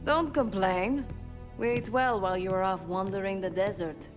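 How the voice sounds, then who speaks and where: Lady acting as composed spy woman, dry short delivery, mid voice, game cutscene with loud score. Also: mid-range voice, moderate pace, lightly acted. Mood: dry, teasing. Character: cool, dry, composed female covert operative. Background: dramatic music.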